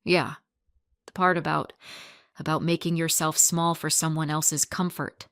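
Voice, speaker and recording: A woman reading the lines of a remorseful man, her ex-fiancé, in a quiet, halting manner. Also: low voice, flat delivery, no room sound, studio quality.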